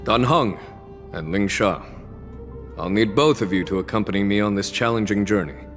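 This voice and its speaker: An actor playing a composed, authoritative general in a game cutscene, speaking in a measured way. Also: male voice, lowish voice, fairly bright tone, big-room sound, faint music behind, close to an everyday voice. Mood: calm. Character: calm, composed male general in his prime.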